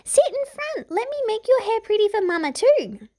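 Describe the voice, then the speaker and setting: Squealing, bossy little princess. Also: female voice, extremely high pitch, moderate pace, voice pushed up. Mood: squealing, excited. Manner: whiny, demanding, excitable.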